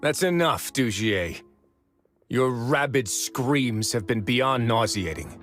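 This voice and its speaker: An actor playing a composed, authoritative duke in a video game, speaking in a measured, dry manner. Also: male voice, medium pitch, animated, a bit bright, faint music behind. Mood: cold contempt. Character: composed, authoritative male duke, prison warden, dryly confident.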